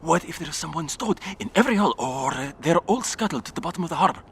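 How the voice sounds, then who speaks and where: Casting: worried bookish survivor, questioning and doubtful, audio drama scene with light background noise. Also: male voice, a little high, lively intonation, fast, fairly bright tone. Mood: worried, incredulous. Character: anxious, questioning male linguist survivor following a leader. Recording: faint background ambience.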